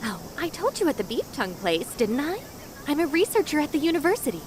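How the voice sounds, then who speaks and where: A woman playing a bubbly, eccentric adult AI researcher in an animated game dub, breezy, chatty and enthusiastic. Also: sits high, fast talker, slight background noise. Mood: breezy, curious. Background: music.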